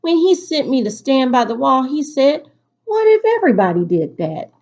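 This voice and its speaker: A woman teacher reading aloud to students in an expressive, instructive storytelling delivery. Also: sits high, normal speed. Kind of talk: picture-book read-aloud for a virtual class. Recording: dull, lo-fi recording.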